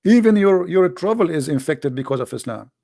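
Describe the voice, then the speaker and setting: A man's voice: on the high side, expressive, a little fast, vocal fry, mellow, no echo, studio quality. A man with an Arabic accent, a native Arabic speaker, hosting an informal, impassioned monologue in a blunt, emphatic manner with repeated phrases and rhetorical questions. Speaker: host, religious polemicist.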